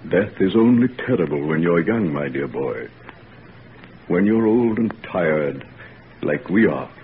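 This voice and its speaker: An actor playing an older, weary father grieving his son, speaking in a low, sorrowful, halting way. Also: animated. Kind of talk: 1940s radio acting. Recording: tinny old radio broadcast, faint hiss.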